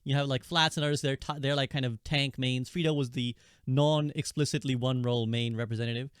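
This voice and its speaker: A man's voice: mid-range voice, fairly even intonation, brisk, clear, resonant tone, no room sound, studio quality. A thirty-year-old man hosting a podcast, moderating a casual chat among guests. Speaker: podcast host.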